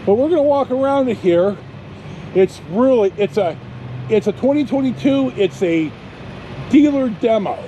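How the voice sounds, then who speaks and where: Male vlogger, notably high voice, loose plain-spoken storytelling, recorded on the go with clear traffic and engine noise. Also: lively intonation, moderate pace, mellow. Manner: rambling, plain-spoken, mildly profane. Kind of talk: casual rambling storytelling vlog. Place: inside a moving car and outside on a car lot. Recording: noisy vehicle and outdoor background. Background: car and road noise.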